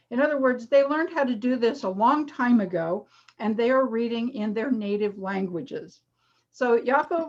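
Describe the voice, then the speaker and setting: A woman's voice: fairly high pitch, a little mellow. A middle-aged woman teacher explaining a reading demonstration in a friendly, casual way with many fillers. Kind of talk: casual online lecture. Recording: dull lo-fi video call audio.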